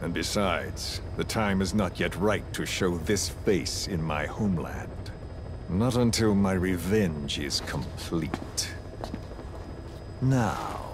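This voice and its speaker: A cold, scheming game villain, with a low voice and slow, deliberate delivery. He sounds mocking and quietly threatening as he talks of vengeance. Loud background rumble sits behind him. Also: animated, heavily acted. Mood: cold resolve. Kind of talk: dramatic video game acting.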